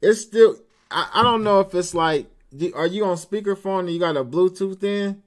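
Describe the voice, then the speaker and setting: A man's voice: sits high, normal speed. A man hosting a casual live-stream interview, talking in an informal, slangy way. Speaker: host of an online call-in show.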